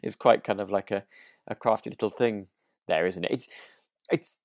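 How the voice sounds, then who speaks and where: Creaky-voiced man, hesitant podcast chat. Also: on the low side, no room sound, studio quality. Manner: hesitant, halting, reflective. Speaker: podcast co-host. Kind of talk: relaxed casual discussion.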